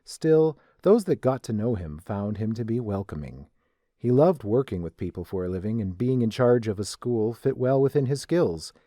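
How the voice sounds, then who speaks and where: A man's voice: lowish voice, lively intonation, moderate pace, mellow, no echo, studio quality. A man narrating a scripted story.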